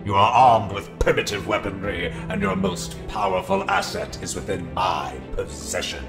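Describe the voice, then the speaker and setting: Deep gravelly imperious dark overlord. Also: male voice, sing-song. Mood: cold, dismissive. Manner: slow, imperious, formal, detached. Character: cold, commanding male dark entity, villainous master. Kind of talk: villain monologue in fan-made drama. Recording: echoing voice over music.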